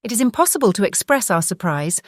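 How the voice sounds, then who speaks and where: An actress with a British accent playing a gentle, distressed married gentlewoman in an audiobook drama, speaking earnestly and hesitantly. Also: mid-range voice, sing-song, quick pace, no echo, studio quality, lightly acted. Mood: shaken. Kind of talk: full-cast audiobook drama reading.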